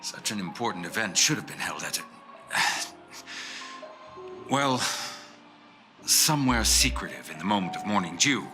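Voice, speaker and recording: A man voicing an anxious, deferential corporate subordinate in a video game, hesitant and flattering. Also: on the low side, normal speed, long pauses, natural voice. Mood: uneasy, deferential. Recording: music playing behind.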